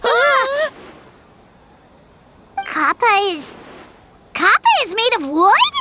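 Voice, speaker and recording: An actress playing an excitable, earnest young girlish character in an anime fantasy game. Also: very high-pitched, extremely slow, long reverb tail, background noise, full character voice. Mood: shocked.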